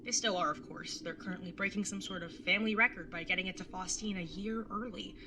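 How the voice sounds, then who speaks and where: A woman's voice: mid-range voice, fast, noisy background, lightly acted. A woman playing a wry, jaded young artist bartender in an audio drama, sardonic and casually sweary as narrator and older sibling. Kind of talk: first-person audio drama narration and dialogue.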